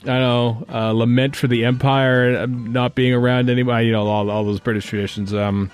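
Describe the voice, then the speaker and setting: A man's voice: medium pitch, flat delivery, moderate pace, clean tone, soft background music. Rambling, joking man. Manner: rambling, joking, self-interrupting. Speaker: podcast host. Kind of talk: casual fan podcast chat.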